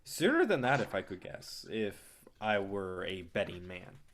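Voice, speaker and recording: A man's voice: on the low side, very animated, measured pace, fairly bright tone, a little hiss or hum. A man acting in a staged play reading as a brash, cocky film distributor, swaggering and persuasive with plenty of profanity. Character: brash, cocky, foul-mouthed male film distributor, smooth manipulator.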